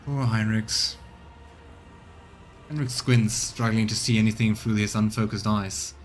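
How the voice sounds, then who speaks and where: A man's voice: on the low side, fairly even intonation, unhurried, faint music behind. A man streaming a let's play, reading out the game's narration and adding his own casual commentary. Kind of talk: game commentary and narrated reading of on-screen text.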